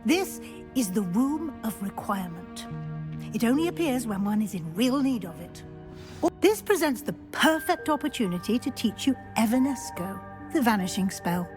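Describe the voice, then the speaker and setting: An actress playing a brisk, kindly middle-aged professor in a video game, giving crisp teacherly instructions. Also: female voice, a little high, big pitch swings. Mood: instructive. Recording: game soundtrack with clear music.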